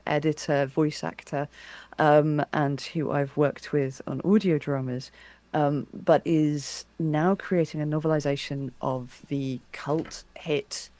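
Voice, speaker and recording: Woman, interviewer, lower pitch, dry recording, hesitant, encouraging, casual livestream chat. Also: darkish tone, dry recording.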